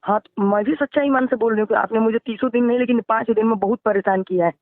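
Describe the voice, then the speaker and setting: Woman, Bhojpuri accent, high-pitched, argumentative and repetitive, heard dry through a narrow phone connection. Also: fast, dry recording. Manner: indignant, insistent, repeating phrases. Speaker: singer. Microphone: phone line. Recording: tinny phone call recording.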